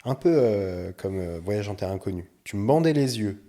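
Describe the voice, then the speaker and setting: A man with a low, mellow voice talks as a guest on a casual podcast. He is animated and walks through his points with concrete examples, and his tone is warm and unhurried. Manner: animated, explains things with examples.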